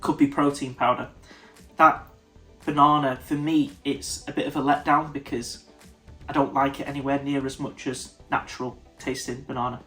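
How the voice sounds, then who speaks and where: A man's voice: mid-range voice, little pitch movement, moderate pace, fairly bright tone, soft background music. A man with a congested, nasal voice, giving a casual whisky tasting review and often stopping to restart his sentences. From United Kingdom. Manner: thoughtful, stops and restarts mid-sentence.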